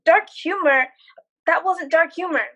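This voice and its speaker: A woman's voice: very high voice, a little slow, fairly bright tone, lo-fi sound. A young woman playing an outspoken young paralegal in a student stage drama, with a sarcastic, firm way of talking. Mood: indignant, scolding. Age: young adult. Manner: sarcastic, firmly lecturing.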